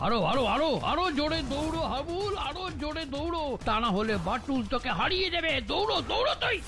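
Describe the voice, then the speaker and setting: A man playing a cunning, excitable sports coach in a cartoon dub, emphatic and given to repeating words. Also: very high voice, fast talker, creaky, over music, heavily acted. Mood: urgent. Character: scheming male running coach, excitable and cunning. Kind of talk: cartoon dubbing.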